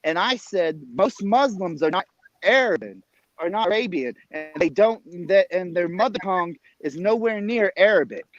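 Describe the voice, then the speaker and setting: A man in a heated online debate, halting and restarting as he tries to get a word in. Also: high voice, expressive, no echo. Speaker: debater on a live stream. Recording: muffled call audio.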